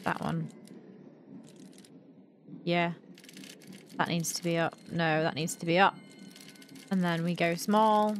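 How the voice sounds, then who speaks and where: A woman's voice: lowish voice, steady tone, slow, long pauses, background noise. A young adult woman giving casual let's-play commentary, thinking aloud in a playful, self-deprecating mutter. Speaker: gaming video host.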